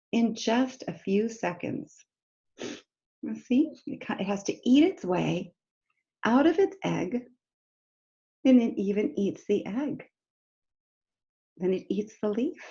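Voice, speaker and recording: A woman reads a nonfiction picture book to young children at a slow, measured pace, in a medium-pitched voice. She breaks off to explain things in simple words and puts questions straight to her listeners. The recording is slightly dull and lo-fi. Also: dark tone. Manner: simple, instructive, addresses children directly with questions. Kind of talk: read-aloud story time lesson for young children, with explanatory asides.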